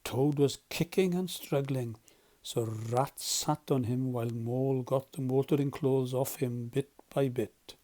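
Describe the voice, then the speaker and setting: A man reading a children's story aloud as a volunteer storyteller, with expressive scripted narration. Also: medium pitch, unhurried.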